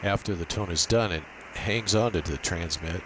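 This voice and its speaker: A man's voice: deep voice, normal speed. A man giving an unscripted, methodical technical walkthrough, explaining a setup step by step. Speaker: amateur radio demonstrator. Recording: steady hiss and noise behind voice.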